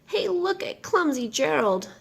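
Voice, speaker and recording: A woman voicing jungle animals in a storybook, exclaiming with loud, exaggerated emphasis. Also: sits high, very animated, unhurried, creaky, voice pushed up, exaggerated voice. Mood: mocking. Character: jeering jungle animals, mocking then amazed crowd.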